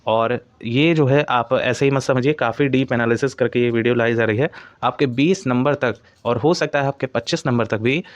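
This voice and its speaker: A man's voice: medium pitch, moderate pace, no echo. A man giving an informal explainer as an exam coaching presenter, often padding his points with stock phrases.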